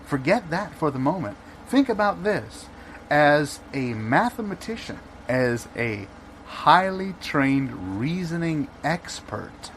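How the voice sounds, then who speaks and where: A man's voice: on the high side, sing-song, takes it slowly, fairly bright tone, slight background noise, exaggerated voice, rough recording. A man playing a blustering, exasperated debater in an improvised audio comedy, insistently repeating himself and cutting in. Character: blustering, overwrought male debater making absurd arguments.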